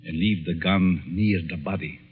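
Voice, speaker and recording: An actor in a 1940s-style radio drama playing a sinister, calculating professor, measured and insinuatingly persuasive. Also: male voice, sits low, husky. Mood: coolly instructing. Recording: muffled old radio recording, long reverb.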